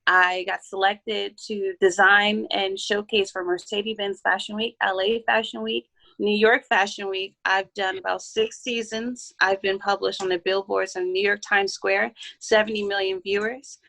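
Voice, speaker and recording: A woman's voice: mid-range voice, little pitch movement, normal speed, gravelly, pushed and bright, rough recording. Self-assured woman guest from Baltimore. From Baltimore, Maryland. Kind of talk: casual interview talk.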